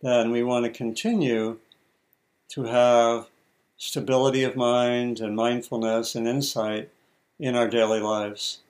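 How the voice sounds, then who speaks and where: A man leads a guided meditation as the teacher. He speaks in short, measured phrases at a middling pitch, leaving deliberate pauses as he gives each instruction. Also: level tone.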